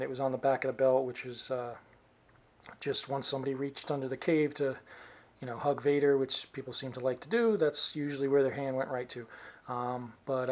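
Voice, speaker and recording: A man casually and haltingly explaining gear in a demo, recorded by a camera mic in a small room. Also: medium pitch, darkish tone, muffled recording. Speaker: costume hobbyist demonstrating gear. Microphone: camera mic from a short distance.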